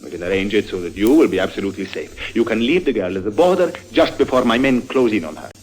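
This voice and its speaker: An actor playing a cold, insistent, corrupt police lieutenant in a curt, commanding old-time radio style. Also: male voice, fairly low pitch, sing-song, fast talker, poor audio. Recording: vintage radio drama recording.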